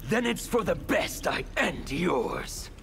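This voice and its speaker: A gravelly anarchist villain from a fighting game. He is menacing and bitter, speaking slowly and deliberately with big swings in pitch over faint music. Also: big pitch swings, exaggerated voice. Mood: defiant, menacing. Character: mutilated male chaos zealot, bitter freethinking anarchist villain. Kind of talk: fighting game character dialogue.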